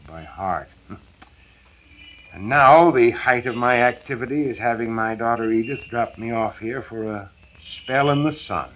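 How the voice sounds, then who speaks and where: A man's voice: mid-range voice, natural voice. Man playing a folksy, discouraged ex-salesman, old radio sound. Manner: folksy, wry, resigned. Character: ailing, discouraged former salesman in his early fifties. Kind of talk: 1940s radio acting. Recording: dull, band-limited 1940s radio transcription.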